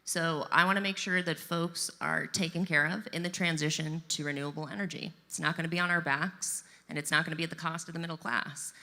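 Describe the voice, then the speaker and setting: A woman political candidate answering debate questions in a brisk, conversational way. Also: low voice, fairly even intonation, vocal fry, bright, forceful tone. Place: live debate in a large event venue. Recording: long reverb in a large venue.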